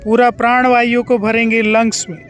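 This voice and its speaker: An Indian yoga nidra instructor guiding relaxation in a calm, soothing way with drawn-out instructions and pauses. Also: mid-range voice, soft background music. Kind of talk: guided relaxation meditation.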